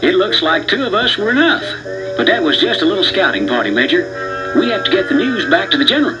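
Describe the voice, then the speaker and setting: A man's voice: fast, low-quality recording. A man doing an eager young frontier sidekick. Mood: cocky. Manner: excited, high, shouting. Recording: voices over loud music. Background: loud background music.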